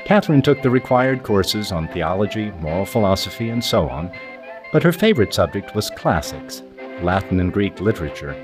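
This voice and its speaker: A man's voice: on the low side, rough recording. A man reading scripted documentary narration. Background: music.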